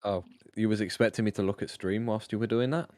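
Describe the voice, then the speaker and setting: A man with a British accent and a low voice chats as he games with friends. He is argumentative and needles people, and the sound is close and dry. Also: fairly even intonation, quick pace, darkish tone, no echo. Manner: teasing, argumentative. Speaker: gamer friend on a voice call. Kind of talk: casual banter over a game stream.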